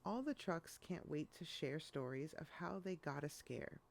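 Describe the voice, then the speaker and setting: A woman reading a rhyming children's picture book aloud in an expressive storytelling style. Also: low voice, unhurried, gravelly.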